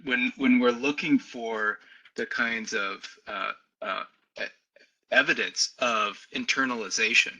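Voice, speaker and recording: A man's voice: mid-range voice, a little slow, sharp tone, highs cut off. A man who is a history professor, hosting a webinar and speaking in a hesitant way, with many stammered restarts. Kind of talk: academic moderation. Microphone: video call.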